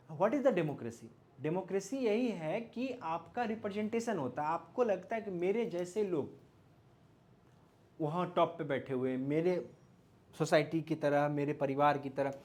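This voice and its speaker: A man with an Indian accent teaches in an informal, explanatory way. His voice sits a little high. He poses rhetorical questions and adds small check-in tags as he goes. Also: darkish tone. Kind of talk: informal explanatory lecture mixing Hindi and English.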